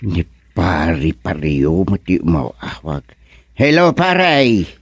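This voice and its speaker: A man's voice: low-pitched, wildly swooping pitch, slow, soft background music, exaggerated voice. A man acting a hesitant, easily tempted married village friend in an Ilocano radio comedy drama, with an Ilocano Filipino accent and questioning manner.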